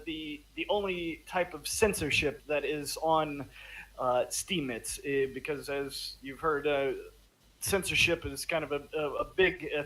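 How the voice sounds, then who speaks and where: A man in a casual online discussion, talking in halting bursts with many restarts and fillers. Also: a little high, a bit bright, low-quality recording. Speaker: podcast panelist.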